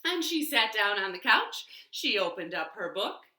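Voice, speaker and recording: A woman telling a children's story in an animated, expressive way with dramatic pauses, as a library storyteller. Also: medium pitch, background noise. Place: living room. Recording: roomy, echoey home recording.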